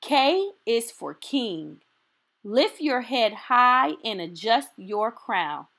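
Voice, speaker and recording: Female storytime reader, fairly high voice, very animated intonation, unhurried and encouraging, for a children's picture book. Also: creaky. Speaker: storytime host reading aloud.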